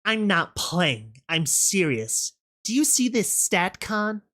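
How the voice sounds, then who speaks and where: A woman's voice: mid-range voice, big pitch swings, slow, fairly bright tone, voice pushed up. A woman voicing a cruel, arrogant female pegasus tyrant in a story, with a guttural, taunting, menacing delivery. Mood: smug menace. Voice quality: guttural, growling.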